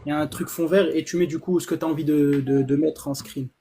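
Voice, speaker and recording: A man's voice: a little high, steady tone, fast, mellow, slight background noise. A man co-hosting a live online debate, speaking bluntly with slang and jumping in to lecture the others insistently. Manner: blunt, combative, uses slang. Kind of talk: heated casual debate.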